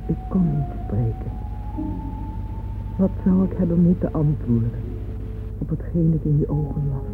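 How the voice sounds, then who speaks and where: A woman's voice: sits low, expressive. An actress playing a weary old Russian noblewoman, speaking wistfully and reflectively in a radio drama monologue. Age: older. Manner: slow, reflective, intimate address. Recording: muffled old radio recording, music behind.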